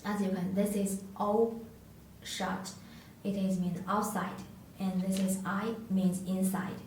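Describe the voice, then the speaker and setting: A woman who is a non-native English speaker, giving an unscripted product tutorial in a halting, hesitant way with searching pauses. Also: mid-range voice, flat delivery, darkish tone. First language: not English. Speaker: product demonstrator. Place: echoey indoor room, tabletop demonstration. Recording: echoey room, distant mic, faint noise.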